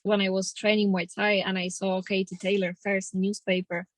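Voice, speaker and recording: A woman's voice: medium pitch, level tone, moderate pace, dry recording. Polish-accented woman boxer, very dry sound. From Poland. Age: 30s. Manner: plainspoken, determined, non-native grammar. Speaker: professional boxer. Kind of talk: casual interview.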